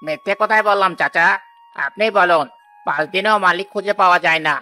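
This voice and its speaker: A man's voice: high voice, quick pace, pushed and bright, faint music behind. A man voicing an envious, suspicious village gossip in a cartoon folk tale, scornful and accusing. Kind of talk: animated folk-tale dialogue.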